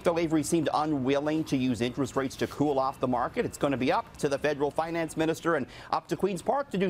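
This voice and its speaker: A man's voice: fairly high pitch, a little hiss or hum. A man in his thirties, a business editor, giving a chatty newscast report from a radio studio. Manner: chatty, joking banter between reports.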